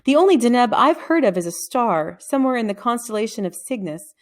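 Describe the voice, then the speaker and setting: A woman's voice: a little high, very animated, brisk, pitched above the performer's own voice. Woman reading as nervous old male Latin teacher. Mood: scholarly interest. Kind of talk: children's storybook dialogue.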